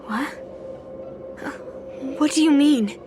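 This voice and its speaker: A woman's voice: high-pitched, drawn-out words, over music. A woman playing a headstrong young sword fighter in a video game, forthright and insistent. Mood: accusing, demanding. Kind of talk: dramatic video game voice acting.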